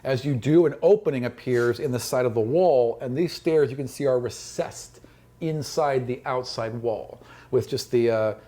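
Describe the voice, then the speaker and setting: A man's voice: mid-range voice, normal speed, dark tone. A man running a tabletop fantasy game as game master, narrating descriptively and voicing sound effects.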